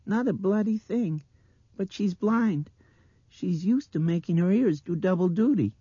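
A small girl's voice, put on by a male narrator, pitched far above a man's talk. She is dreamy and eerie, trembling with fear and insistent, in an audiobook horror story. The recording is slightly dull and dry. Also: animated, unhurried, mellow, dry recording, exaggerated voice. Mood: intent, mimicking. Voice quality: breathy, trembling. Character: frightened blind little girl, dreamy and eerily intense.

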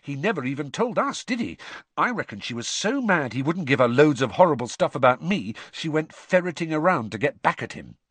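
A man's voice: a little high, very animated, brisk, a bit bright, slightly rough, no echo, voice pushed up, full character voice. A man voicing a fiercely loyal teenage boy in an audiobook, talking in a heated, snapping way. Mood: angry.

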